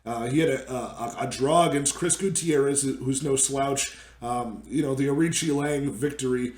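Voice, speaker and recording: A man hosting a sports betting show, talking through his picks casually with a hoarse, gravelly voice as he loses it, with many fillers and restarts. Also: mid-range voice, normal speed, a little mellow, echoey room. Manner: filler-heavy, restarts often.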